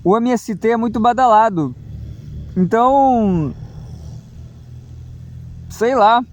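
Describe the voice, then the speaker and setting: A man's voice: very high voice, slow, highs cut off, slight background noise. A man, rambling through a solo political monologue, full of hesitations and restarts. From Brazil. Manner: hesitant, many fillers and restarts. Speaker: political commentator.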